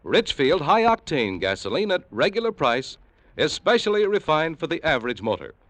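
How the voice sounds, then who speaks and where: A man's voice: fairly high pitch, sing-song, normal speed, fairly bright tone, dry recording. An American man reading a 1940s radio commercial in an emphatic announcer style.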